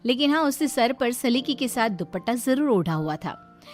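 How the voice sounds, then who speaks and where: A woman's voice: on the high side, very animated, normal speed, faint music behind. A woman giving scripted storytelling narration as the narrator of a moral tale.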